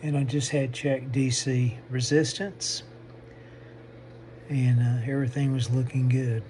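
A man narrating a hands-on repair demonstration, haltingly thinking aloud as he works at a bench indoors. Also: medium pitch, little pitch movement, mellow, a little hiss or hum. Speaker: host of a radio repair channel.

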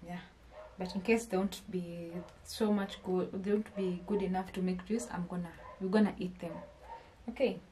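A woman's voice: fairly low pitch, mellow, slight background noise. A young woman with a Kenyan accent chatting to her viewers in a casual haul vlog, asking them questions and often restarting her phrases, recorded in a small home kitchen on a camera at arm's length. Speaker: vlogger.

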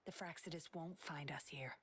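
Secretive young female game agent, low-pitched, husky breathy tone, cool, measured, commanding. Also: steady tone, a bit bright. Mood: cool, assured. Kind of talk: scripted game dialogue. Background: game music.

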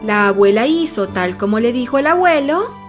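A woman's voice: fairly high pitch, expressive, normal speed, faint music behind, lightly acted. A woman narrating a children's fairy tale as a scripted storyteller.

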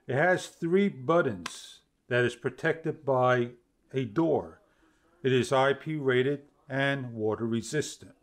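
A man in his fifties giving an instructional product demonstration to camera, with halting phrasing. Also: mid-range voice. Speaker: product reviewer. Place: small home studio room, indoors.

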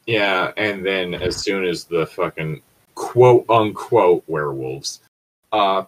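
A man's voice: deep voice, slow pace, rough recording. A man chatting casually and bluntly, swearing freely and arguing his points. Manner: profane, argumentative. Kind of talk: casual opinionated chat.

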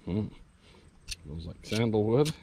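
A man's voice: lowish voice, animated, takes it slowly, warm tone, a little hiss or hum. A man casually narrating a vlog outdoors on a rural farm, in an easygoing, joking way. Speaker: vlogger. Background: faint rural outdoor ambience.

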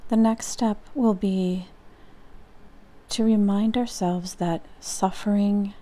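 A woman leading a guided meditation as a therapist, speaking gently in short phrases with long pauses. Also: medium pitch, steady tone.

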